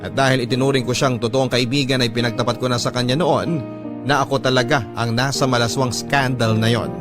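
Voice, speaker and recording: A man reading a troubled young woman's confession as solemn, measured first-person narration for a radio drama. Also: mid-range voice, fairly even intonation, close to an everyday voice. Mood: solemn, confiding. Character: troubled, ashamed young woman confiding her story to radio host. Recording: prominent music behind the voice.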